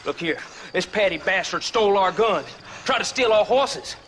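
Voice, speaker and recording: An actor playing a vicious outlaw in a western game, taunting and threatening in a creaky, harsh voice. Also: male voice, sits high, lively intonation, normal speed, a bit bright, slight background noise. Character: vicious male outlaw thug, threatening to kill. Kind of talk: animated western game acting.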